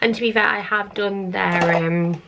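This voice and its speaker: A British woman in her thirties, husky from the end of a cold, chatting casually and laid-back through a make-up tutorial. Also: mid-range voice, steady tone, unhurried, fairly bright tone, dull audio, slight background noise. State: getting over a cold. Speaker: beauty vlogger.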